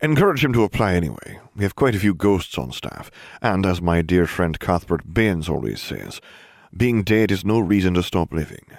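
A man's voice: sits low, very animated, normal speed, gravelly, no room sound, clean studio sound, exaggerated voice. A man voicing a cheerful, unflappable old wizard headmaster with a genteel manner. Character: twinkly, scheming old male headmaster wizard.

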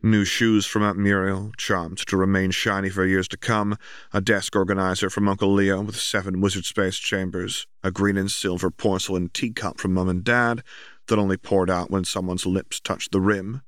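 A man's voice: low voice, level tone, normal speed, fairly bright tone, smooth, dry recording, studio quality. A man reading a story aloud as scripted audiobook narration.

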